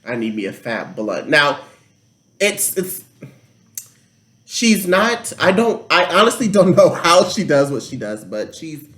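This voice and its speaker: A man's voice: on the high side, sing-song, measured pace, fairly bright tone. A man co-hosting a casual podcast chat, blunt and opinionated. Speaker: podcast co-host. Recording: echoey room.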